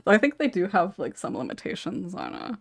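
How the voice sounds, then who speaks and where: A woman's voice: mid-range voice, sing-song, a little fast, gravelly, slightly rough, no echo, studio quality. A woman hosting a casual group podcast, chatty and often giggly. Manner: giggly, laughs often between remarks. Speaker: podcast host.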